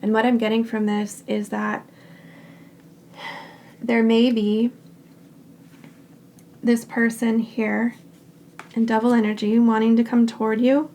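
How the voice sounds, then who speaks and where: A woman giving a tarot reading in short, unhurried phrases. Her voice is raspy and hoarse because she is getting over a cold. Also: fairly high pitch. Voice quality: raspy, scratchy throat. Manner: calm and reassuring, speaks in short unhurried phrases. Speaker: tarot card reader. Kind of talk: pick-a-card tarot reading.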